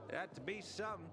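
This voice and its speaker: Apologetic male survivor, game cutscene, fairly high voice, halting and defensive, music behind. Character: hesitant, apologetic townsman among wary survivors.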